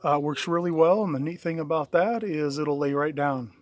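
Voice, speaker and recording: A man's voice: a little high, normal speed. A middle-aged man giving a casual video walkthrough as a DIY installer, talking in a plain-spoken, matter-of-fact, folksy way. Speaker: DIY installer presenting own work. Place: in and around a parked vehicle.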